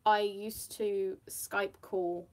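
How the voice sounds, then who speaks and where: A woman's voice: mid-range voice, flat delivery, drawn-out words. A young woman from the UK with a slightly Australian-tinged British accent, chatting casually while doing her makeup on camera, rambling with fillers and frequent little laughs. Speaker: makeup vlogger. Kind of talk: casual get-ready-with-me chat.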